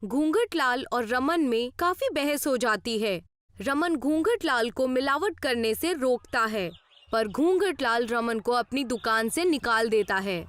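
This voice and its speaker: High-pitched woman narrating a story. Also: animated, a little slow, no room sound. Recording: loud music behind the voice. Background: loud background music.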